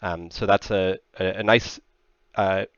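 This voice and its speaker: A man presents technical material in a fairly low voice. He explains step by step in a measured, conversational way. The sound is dry and close, with a slightly muffled, lo-fi top end. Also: fairly even intonation, clean tone, dry recording. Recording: slightly dull, band-limited sound.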